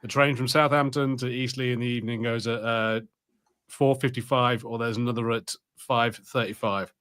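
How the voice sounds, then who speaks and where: A man's voice: medium pitch, fairly even intonation, moderate pace, fairly bright tone, clean tone. A man, chatting casually as a podcast co-host. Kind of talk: casual chat.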